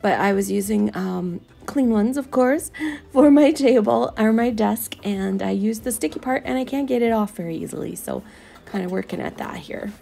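A woman's voice: mid-range voice, normal speed, vocal fry, dark tone. A middle-aged woman chatting casually as she narrates a video, rambling with lots of fillers. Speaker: doll artist vlogger. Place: home craft room.

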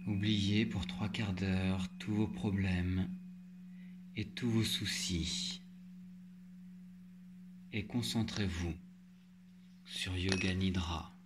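A man leading a guided yoga relaxation as an instructor, giving calm, measured instructions with long pauses. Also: low-pitched, heavy background noise. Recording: loud meditation music with singing bowls. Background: meditative music, singing bowl tones.

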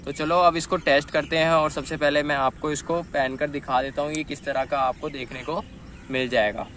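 A man's voice: on the high side, steady tone, moderate pace, fairly bright tone. A young man casually unboxing and testing a gadget, talking to viewers as guys, recorded outdoors on a phone camera. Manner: chatty, addresses viewers as guys. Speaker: vlogger. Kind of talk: casual unboxing and test vlog. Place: outdoors in an open dirt field, later riding on a street. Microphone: phone camera, later a chest-mounted phone.

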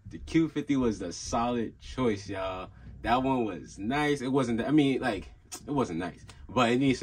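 A young man with a low, slightly husky voice talks straight to a desk camera in a small room at home. He rambles in a relaxed, easygoing way, with plenty of fillers and restarts, like he is catching up with his viewers. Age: young adult. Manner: laid-back, rambling, many fillers and restarts. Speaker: car channel host. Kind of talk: casual vlog update.

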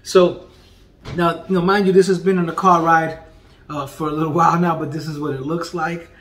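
A man in his forties hosts a casual food review from a dining room at home, joking and animated. His voice sits a little high for a man, and he drops to quiet murmurs while tasting. The room gives his voice a noticeable echo. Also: fairly quick, a little hiss or hum. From Puerto Rican heritage. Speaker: food vlogger and comedian host. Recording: echoey room.

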